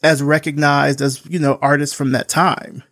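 A man's voice: on the high side, flat delivery, normal speed, fairly bright tone, dry recording, clean studio sound. A man hosting a podcast, chatting loosely with lots of "like" fillers and telling stories with animation. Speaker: podcast host. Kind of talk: casual interview chat.

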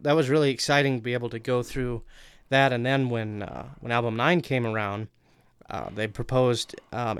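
A man's voice: medium pitch, smooth, no room sound. A young man, a guest writer, recounting his work in a casual, informal way.

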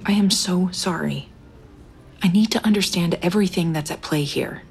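An actress playing a determined expert cave diver in an audio drama, direct and firm, with a probing way of talking. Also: female voice, fairly low pitch, moderate pace, gravelly, husky, heavily acted. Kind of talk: naturalistic audio drama acting.

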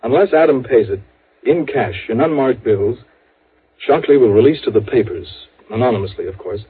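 Anxious American businessman for old-time radio drama: very husky, mid-pitched, earnest, formal, urgent. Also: animated, normal speed. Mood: grave. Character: anxious, earnest businessman, one of identical twin brothers. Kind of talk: 1950s radio drama acting. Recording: very muffled, fuzzy 1950s radio recording.

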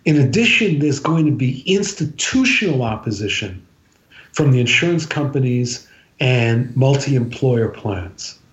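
A man giving political analysis as a podcast guest, speaking in a halting, deliberate, measured way. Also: lively intonation. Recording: roomy, dull low-fidelity sound.

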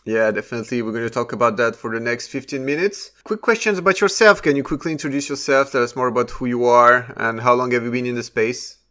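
A man's voice: medium pitch, fairly even intonation, quick pace, few pauses, a bit bright. A man hosting a casual podcast interview, asking questions and often stumbling over his words. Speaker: podcast host.

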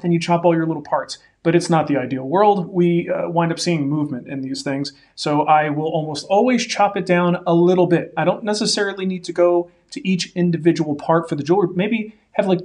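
A man in his forties co-hosting a live woodworking Q&A, giving practical advice in a relaxed, folksy way. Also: fairly high pitch. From Denver area. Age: 40s. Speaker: woodworking show co-host. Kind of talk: live-stream Q&A, casual chat.

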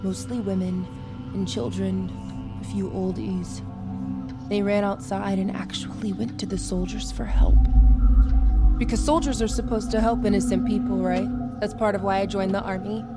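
A woman's voice: medium pitch, steady tone, natural voice. Haunted, menacing young army private, acted by a woman, music behind. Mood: grim, restrained. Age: young adult. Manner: dramatic, deliberate monologue. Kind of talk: audio drama acting. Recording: loud music bed behind.

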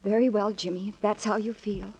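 A woman's voice: medium pitch, fairly even intonation, fairly quick, darkish tone, breathy edge, low-quality recording. An actress playing an earnest, anxious young woman who pleads and hesitates in a 1940s radio mystery. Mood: anxious, hesitant. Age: young adult.

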